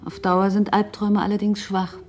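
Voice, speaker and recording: A woman's voice: mid-range voice, level tone, moderate pace, a little mellow, faint music behind, close to an everyday voice. Actress as a sardonic, independent woman in radio drama. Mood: wry, talkative. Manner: talkative, ironic, pointed. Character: self-assured, sardonic, independent older girlfriend of a runaway man. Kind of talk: naturalistic radio drama acting.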